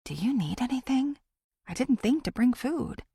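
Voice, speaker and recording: A woman's voice: high-pitched, expressive, moderate pace, gravelly, mellow, husky, dry recording, clean studio sound, pitched above the performer's own voice, exaggerated voice. A woman voicing an anxious, caring female podcaster heroine, earnest and tense, in an audiobook. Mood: concerned.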